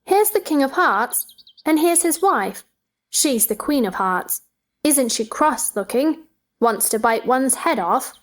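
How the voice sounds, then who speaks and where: A woman's voice: high voice, lively intonation, takes it slowly. A woman voicing a chatty, curious little girl with an eager, inquisitive manner in a storybook play reading. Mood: chatty, playful.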